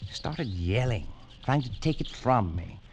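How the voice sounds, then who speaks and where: An actor playing an arrogant, flippant English colonial adventurer in a radio drama, speaking theatrically. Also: male voice, mid-range voice, big pitch swings, measured pace, a little mellow, a little hiss or hum. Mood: evasive, uneasy. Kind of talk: old-time radio drama acting. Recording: vintage radio recording with music.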